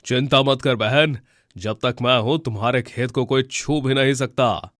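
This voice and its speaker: A man's voice: mid-range voice, steady tone, unhurried, fairly bright tone, dry recording, clean studio sound, close to an everyday voice. A man voicing a protective male bear in a children's cartoon, firm and reassuring. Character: protective, steady male bear friend guarding the field.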